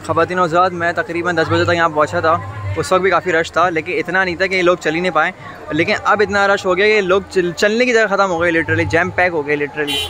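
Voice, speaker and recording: A man in his twenties with a Pakistani accent, chattily addressing viewers as a vlogger and repeating phrases for emphasis. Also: a little high. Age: 20s. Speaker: vlogger and host. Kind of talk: enthusiastic vlog commentary and street interviewing. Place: outdoors at night in a crowded tented cattle display.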